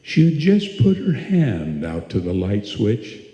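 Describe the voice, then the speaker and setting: A man playing an ominous narrator in a live old-time radio suspense play, with deliberate, drawn-out phrasing. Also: fairly low pitch, wildly swooping pitch, warm tone, lo-fi sound. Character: ominous, menacing male narrator of a suspense tale. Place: live stage performance in a hall. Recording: echoey live hall, music behind.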